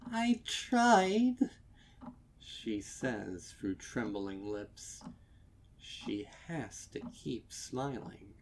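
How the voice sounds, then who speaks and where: A man reading a dark story as scripted dramatic narration, measured and with deliberate pauses. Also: lowish voice, creaky. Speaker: fan fiction narrator.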